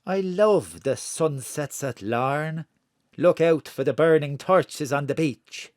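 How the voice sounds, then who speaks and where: A woman's voice: deep voice, slow pace, a little mellow, no echo, clean studio sound. A woman playing a secretive, conspiratorial member of a secret society in an audio drama, speaking cryptically.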